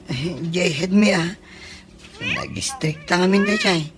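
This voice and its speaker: A woman's voice: fairly low pitch, wildly swooping pitch, takes it slowly, a crowd in the background, exaggerated voice. Woman playing flustered young Ilocano sleepyhead. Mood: pleading. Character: hapless young male sleepyhead office worker. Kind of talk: comedy radio drama acting. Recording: clear background noise.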